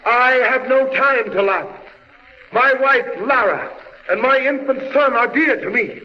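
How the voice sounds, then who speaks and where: A high-pitched, impassioned scientist from another world speaks like a prophet of doom, grave and oratorical. The sound is muffled, with hiss and a long reverberant tail. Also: male voice, unhurried. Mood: impassioned, defiant. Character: impassioned alien scientist warning of doom, husband and father. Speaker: radio drama actor. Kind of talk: 1940s radio drama acting. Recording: muffled, hissy old radio recording, long reverb.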